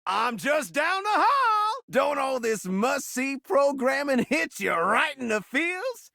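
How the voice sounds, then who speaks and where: Flirty showman tv set game character, rhymes everything, drawn out slow delivery w some gravel. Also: very high voice, big pitch swings, sharp tone, no room sound, studio quality, exaggerated voice. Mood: showy, proud. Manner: rhyming, theatrical showman delivery. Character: flamboyant talking television set, flirty, showy, rhyme-loving male. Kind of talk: video game character voice acting.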